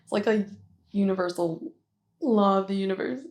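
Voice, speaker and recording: A woman's voice: mid-range voice, darkish tone, lightly acted. A woman playing a shy, flustered young office worker confessing a crush in a nervous, rambling, giggly ASMR roleplay. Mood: nervous, curious. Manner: nervous, halting, rambling, giggly, self-deprecating. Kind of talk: intimate ASMR roleplay monologue.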